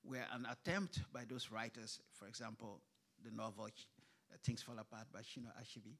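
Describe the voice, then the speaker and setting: Panel speaker, man, thoughtful halting delivery, lots of ums, live event stage. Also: mid-range voice, steady tone, fairly bright tone. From grew up in Kuwait. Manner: hesitant, many fillers and restarts. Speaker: writer on a literature panel. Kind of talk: panel discussion.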